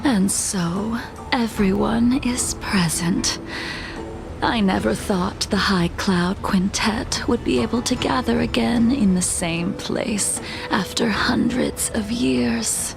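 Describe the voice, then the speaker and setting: A woman voicing a composed, world-weary swordswoman for a video game, grim and formal, with a soft breathy undertone. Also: mid-range voice, over music. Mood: cool, ominous. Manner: formal, measured, solemn. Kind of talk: dramatic video game voice acting.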